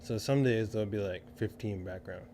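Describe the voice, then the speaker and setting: A man's voice: fairly low pitch, brisk, mellow. A man co-hosting a podcast in casual, informal chat, often repeating himself for emphasis. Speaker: podcast co-host, film crew member. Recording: clearly audible background noise.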